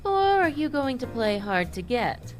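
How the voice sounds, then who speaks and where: A woman's voice: high-pitched, very animated, measured pace, soft background music. A woman playing a sincere, tender mother in an old-time radio drama, speaking earnestly and emotionally. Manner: earnest, emotional, hesitant restarts.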